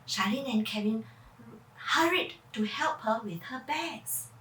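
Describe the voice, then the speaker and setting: A woman storyteller reads for children from a little way off in an echoey room. Her delivery is slow and theatrical, drawing young listeners in with questions. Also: fairly high pitch, lively intonation, a bit bright, a little hiss or hum. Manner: theatrical, puts questions to child listeners, vocal sound effects. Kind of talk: dramatic children's story reading. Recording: echoey room, far from mic.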